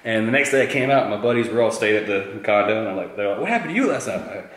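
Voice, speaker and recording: A man's voice: fairly low pitch, animated, racing, a bit bright. A man in his thirties from South Carolina, telling crude stories with a self-mocking laugh as an interview guest. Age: 30s. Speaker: interview guest, YouTube creator. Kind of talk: casual storytelling chat. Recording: echoey room.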